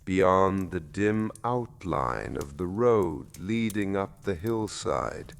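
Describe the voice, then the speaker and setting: A man reading a bedtime story in a gentle, measured, soothing way with deliberate pauses. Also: low voice, animated, vocal fry. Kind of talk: slow soothing scripted narration.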